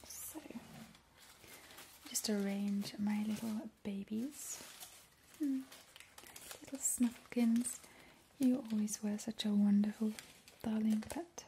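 A woman plays a doting ghoulish vampire in an ASMR roleplay. Her whisper is breathy, dark and soft, lingering slowly over coaxing, playfully macabre lines. There is a faint noise behind her. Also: mid-range voice, fairly even intonation, rough recording. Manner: slow, lingering, cooing. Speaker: ASMR performer. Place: small indoor kitchen.

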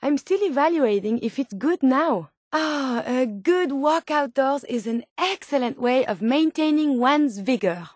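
A woman's voice: high-pitched, expressive, measured pace, hardly any pauses, dry recording, clean studio sound. A woman voicing a curious, polite female robot scientist in a video game, with precise, formal phrasing and earnest naivety. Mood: curious, matter-of-fact. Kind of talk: video game companion dialogue.

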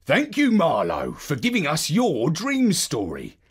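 A storyteller voicing a modest, friendly male dog who closes the story with a lively, up-and-down delivery. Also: mid-range voice, measured pace, breathy edge, full character voice.

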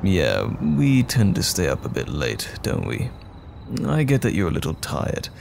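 A man acting as a tender, worried boyfriend in an intimate audio roleplay, speaking gently and soothingly to comfort a troubled partner at night. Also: sits low, wildly swooping pitch, unhurried, gravelly, background noise, full character voice. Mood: tender concern. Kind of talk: intimate roleplay audio drama, soft bedside talk.

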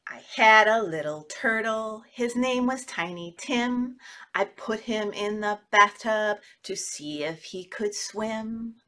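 A middle-aged woman hosting children's story time, reading and talking expressively with drawn-out, exaggerated words. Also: medium pitch, bright, forceful tone. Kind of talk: animated picture-book read-aloud for young children. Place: small indoor room.

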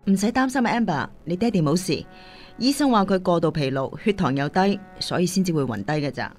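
Caring singing teacher, lively Cantonese woman actor. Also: fairly low pitch, a little fast, faint music behind, natural voice. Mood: earnest. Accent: Hong Kong Cantonese. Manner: earnest, admonishing. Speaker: radio drama actress. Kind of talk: radio drama acting.

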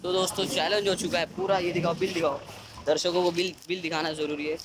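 Teen guy vlogging on his phone, kinda high voice, noisy street and store sounds around. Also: little pitch movement. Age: teens. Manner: chatty, hurried, addresses viewers. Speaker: vlogger host. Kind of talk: casual vlog narration. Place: streets at night and a supermarket. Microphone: phone held at arm's length.

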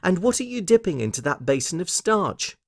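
Man as a high-pitched, curious little storybook girl. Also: big pitch swings, fairly quick, vocal fry, full character voice. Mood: inquisitive. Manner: questioning, exclaiming. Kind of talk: children's storybook character voice.

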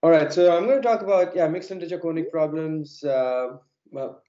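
Hoarse guy giving a maths lecture on a video call, mellow low-key voice, lots of uhs and repeats, kinda muffled audio. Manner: halting, many fillers and repeats. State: hoarse, unwell. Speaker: plenary speaker.

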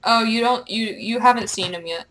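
A woman's voice: on the high side, normal speed, bright, forceful tone, poor audio. A young woman giving playful, giggly casual commentary as she plays a video game and reads its dialogue aloud. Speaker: gamer recording a playthrough.

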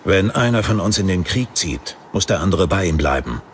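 A man playing a proud, wry paladin husband with an earnest, measured manner in an audiobook drama. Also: deep voice, animated, background noise. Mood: concerned.

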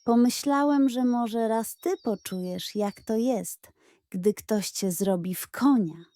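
A woman voicing a lazy male tomcat trickster in a children's tale, calm and self-satisfied. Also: on the high side, slow, dry recording. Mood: smug.

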